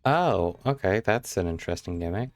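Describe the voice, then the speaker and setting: A man's voice: deep voice, animated, moderate pace, faint music behind. A man giving casual, offhand live game commentary, swearing mildly as he plays. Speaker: game streamer.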